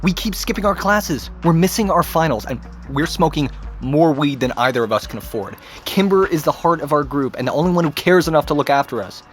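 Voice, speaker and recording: A man's voice: a little high, animated, brisk, soft background music, lightly acted. Man playing a troubled American teenage boy, sarcastic. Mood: exasperated. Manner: casual slangy, swearing. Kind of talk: naturalistic audio drama acting.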